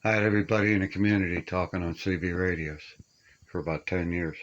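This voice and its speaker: A man's voice: low voice, level tone, quick pace, muffled recording. An older man chatting casually and reminiscing in a plainspoken, folksy way, with homespun grammar. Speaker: radio hobbyist showing his collection. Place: small upstairs room being stripped to studs.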